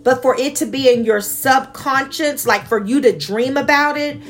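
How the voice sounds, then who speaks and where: A woman's voice: normal speed, faint music behind. A woman talking earnestly and passionately about a dream and her faith, laughing now and then between points. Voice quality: naturally high-pitched voice. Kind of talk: reflective personal monologue.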